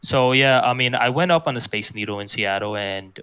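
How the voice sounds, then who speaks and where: A young man speaking as a guest in a casual interview, talking in a flat, monotone way with many restarts. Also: medium pitch, fairly quick, smooth, dull audio. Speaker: guest, competitive fighting game player.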